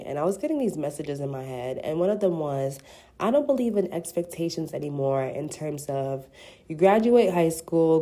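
A woman's voice: low voice, mellow. A woman in her twenties hosting a casual solo podcast, playful and slangy, sounding congested from a cold. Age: 20s. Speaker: podcast host.

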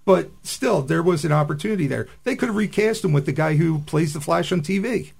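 A man with a gravelly edge and a fairly high pitch gives casual radio commentary. He speaks as a panelist on a science fiction podcast. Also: lively intonation, a little fast, darkish tone.

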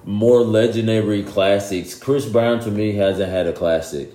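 A man speaking as a guest on a casual podcast, with a flat, low-key delivery he himself calls monotone. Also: unhurried. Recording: echoey room with faint noise.

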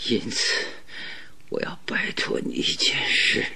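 An actor playing a gravely ill, irritable detective in a radio drama, with laboured, breathy speech and an imperious manner. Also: male voice, slow pace, bright, forceful tone, whispered. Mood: gasping, pleading. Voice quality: breathy, gasping, creaky.

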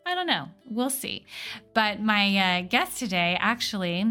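A woman podcast host chatting and interviewing in a casual, animated storytelling manner. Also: mid-range voice, measured pace, faint music behind.

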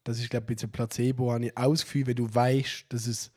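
A man's voice: medium pitch, level tone, fast talker, warm tone. A young man with a Swiss German accent and a cold, co-hosting a podcast with dry, teasing remarks. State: has a cold. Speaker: podcast co-host. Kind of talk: casual chat.

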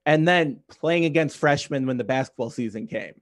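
A man's voice: mid-range voice, moderate pace. A man in his early twenties with an American accent, talking casually and loosely in conversation, rambling and saying "like" often. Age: early 20s. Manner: rambling, heavy use of "like".

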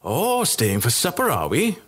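A fawning innkeeper flatters his guests in a high, sing-song lilt, as a man puts him on. His voice swoops about with theatrical courtesy. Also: measured pace, breathy edge, heavily acted. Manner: obsequious, conspiratorial. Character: fawning, sly male innkeeper.

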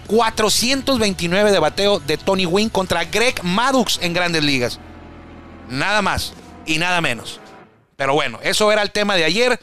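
A man's voice: high voice, animated, moderate pace, sharp tone, soft background music. A man hosting a baseball talk show, chatting casually and correcting himself as he rambles through statistics. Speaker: baseball stats radio host.

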